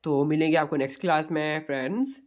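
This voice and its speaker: Hoarse guy with a sore throat doing an online revision class, keeps repeating himself, calls everyone friends. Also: a little high, quick pace. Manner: repetitive explaining, addresses viewers as friends. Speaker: online tutor. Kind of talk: exam revision lecture.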